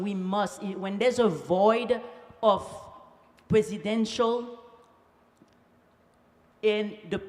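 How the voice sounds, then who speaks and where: A woman speaking as a panelist, finishing her remarks in a halting way and searching for words. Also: mid-range voice, a little mellow. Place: conference hall. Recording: echoey hall with long reverb.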